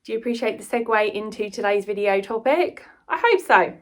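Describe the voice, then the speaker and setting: A woman's voice: mid-range voice, expressive, brisk. A British woman chatting casually and enthusiastically to viewers in a rambling book vlog. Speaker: book vlogger and writer.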